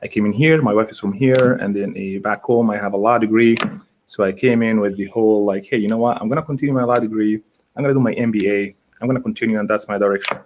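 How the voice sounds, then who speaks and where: A man's voice: fairly low pitch, steady tone, fast, darkish tone, slight background noise. A man from Egypt, a real estate agent, talking as a guest in a live interview, rambling and correcting himself with enthusiasm over a video call.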